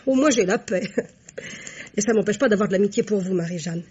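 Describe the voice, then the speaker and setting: A woman's voice: medium pitch, a little fast, warm tone, slight background noise, close to an everyday voice. An actress playing a naive, chatty, good-natured shop daughter in her thirties, gushing and self-deprecating in an old-fashioned French radio drama style. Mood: cheerfully self-deprecating. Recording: dull vintage radio drama recording.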